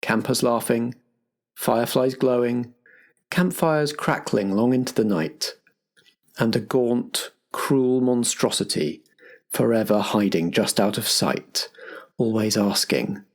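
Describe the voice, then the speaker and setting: A man with a husky edge to his voice serves as host and game master. He speaks at a middle pitch, presenting announcements and setting scenes for the players. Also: slow pace. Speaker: host and game master of an improvised roleplay podcast. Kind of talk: scripted announcements and game narration.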